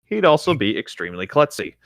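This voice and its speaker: A man of average pitch with very varied intonation, reading aloud and chatting casually. His comedic reactions are wry and skeptical. The recording is dry and free of room echo. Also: big pitch swings, fast talker, creaky, a bit bright. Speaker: co-host reading and reacting to fan fiction.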